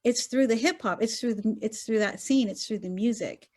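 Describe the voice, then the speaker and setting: A woman's voice: a little high, normal speed, darkish tone. A woman scholar speaking on an academic roundtable over a video call, earnest and thinking aloud with many fillers and "right?" tags. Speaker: gender studies scholar on roundtable panel.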